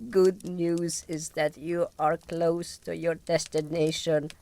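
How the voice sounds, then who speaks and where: A man's voice: a little high, little pitch movement, a little mellow, voice pushed up. A man voicing a weary old amphibian creature in a fantasy audio story, talking in a plain, grumbling way with odd grammar. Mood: pained, weary. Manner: slow, plain, complaining talk with odd grammar.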